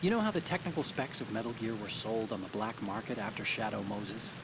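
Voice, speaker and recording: A man's voice: fairly low pitch, a little fast, noisy background, lightly acted. An actor playing an earnest young male engineer giving mission briefings over a video game radio call, explaining things at length.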